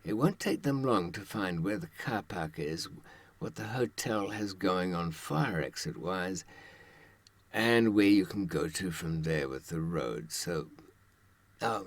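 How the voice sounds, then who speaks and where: An older man with a low voice talks directly to his audience as an adviser. His delivery is conversational and wry, dotted with sardonic rhetorical asides. The sound is close and dry, with very little room on it. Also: expressive, unhurried, no echo. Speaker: true-crime advice presenter and author.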